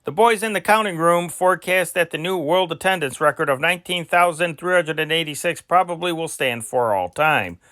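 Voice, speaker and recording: A man with a fairly high, bright and forceful voice reads vintage sports news dispatches. His delivery is deliberate and announcer-like, with an occasional stumble. The sound is close and dry. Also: dry recording. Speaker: amateur news reader, channel host. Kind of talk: reading old newspaper sports dispatches aloud.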